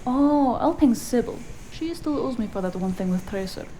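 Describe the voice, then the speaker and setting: A woman playing a bossy, sharp-witted cyberpunk fixer in a noir audio drama, sardonic and scolding. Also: a little high, animated, moderate pace, a little hiss or hum, close to an everyday voice, low-quality recording. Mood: brisk planning. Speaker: voice actor.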